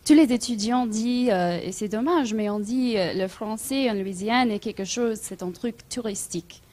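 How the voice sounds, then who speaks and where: A woman doctoral student from Illinois asking a hesitant, halting question from the audience at a conference. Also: mid-range voice, darkish tone. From Illinois, USA.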